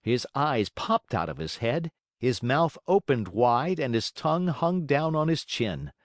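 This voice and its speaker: A man's voice: medium pitch, big pitch swings, a little slow, no echo, studio quality. A man narrating a children's story as an audiobook reader, in a theatrical, emphatic way.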